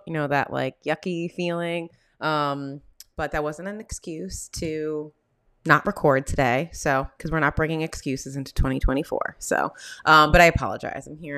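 A woman's voice: low voice, fairly bright tone, clear, resonant tone, no echo, studio quality. A woman in her thirties hosting a casual solo podcast, chatty with many fillers and restarts, sounding nasal from a coming cold. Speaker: podcast host and therapist.

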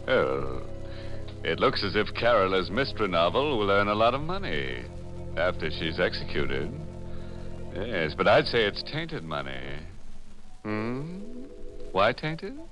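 An actor playing a ghoulish, gleeful horror host on 1940s radio, with mock-sinister, drawn-out delivery of macabre puns. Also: male voice, low voice, sing-song. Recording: 1940s radio recording with music.

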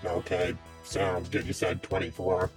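A man improvises a shrewd underworld client in a tabletop game. His voice is very deep and level, and he negotiates coolly and methodically. Music plays clearly behind him. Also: completely flat delivery. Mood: cool, bargaining. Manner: measured, businesslike, smooth negotiator. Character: cool, shrewd male underworld buyer of heavy weapons. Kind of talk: improvised tabletop role-play.

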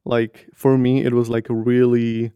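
A nineteen-year-old man from Slovakia, not a native English speaker, hosting his own podcast in casual, earnest talk. His voice is slightly hoarse, and he speaks candidly with many "like" fillers. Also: medium pitch, little pitch movement, unhurried, mellow, dry recording, highs cut off. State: slightly hoarse from long talking. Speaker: podcast host.

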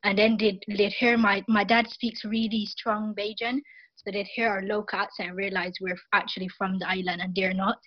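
Barbadian young woman, hesitant and soft-spoken. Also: medium pitch, little pitch movement, normal speed, dry recording, dull audio. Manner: hesitant, many fillers and restarts. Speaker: guest. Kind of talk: casual interview talk.

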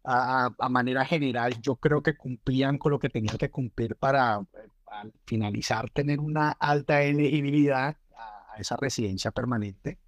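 A man co-hosting a talk show, speaking in a relaxed way and often chiming in with short words of agreement. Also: mid-range voice, muffled recording. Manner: relaxed, quick interjections of agreement. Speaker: co-host.

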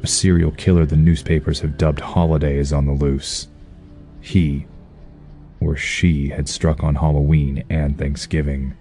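A man voicing a brooding masked vigilante, narrating in a terse, gruff, hard-boiled manner. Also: rumbling low, little pitch movement, unhurried, dark tone. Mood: grim. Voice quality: husky, gruff. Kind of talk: audio drama narration. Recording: faint music behind.

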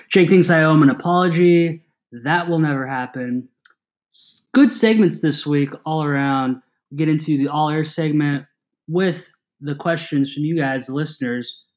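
A man in his twenties hosting a casual sports podcast, energetic and teasing, with quick asides. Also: on the high side. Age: 20s. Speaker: podcast host.

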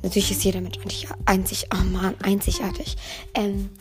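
Hoarse teen girl, podcast host, rambling, self-correcting, faint music behind. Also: mid-range voice, completely flat delivery, a little fast. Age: teens. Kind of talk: casual solo podcast chat.

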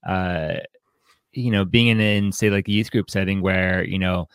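Low-voiced man chatting in a close, dry recording. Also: fairly even intonation, moderate pace, a bit bright, clear, resonant tone, dry recording. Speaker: podcast co-host. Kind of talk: casual book discussion.

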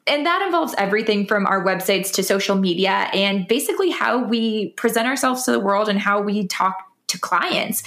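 A woman's voice: mid-range voice, fairly bright tone. Upbeat woman hosting a podcast. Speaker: podcast host. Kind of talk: casual podcast chat.

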